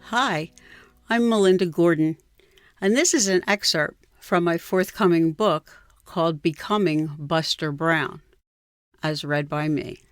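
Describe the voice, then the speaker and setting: A woman's voice: fairly low pitch, unhurried, no echo, clean studio sound, soft background music. A woman in her sixties with an American accent, reading her own memoir as scripted narration. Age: 60s.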